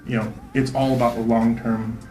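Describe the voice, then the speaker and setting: A man in his thirties, a real estate investor, answering interview questions quickly and confidently, often tagging "right?" onto his points. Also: medium pitch, little pitch movement, warm tone, reverberant, faint music behind, rough recording. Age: 30s. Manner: fast, confident, repeats "right?".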